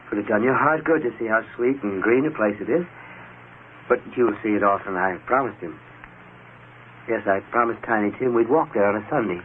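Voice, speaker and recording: An actor playing a gentle, grieving father in vintage radio drama, soft-hearted and sorrowful. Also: fairly low pitch, natural voice. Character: gentle, grieving father struggling to stay composed. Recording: old narrow-band radio, faint noise.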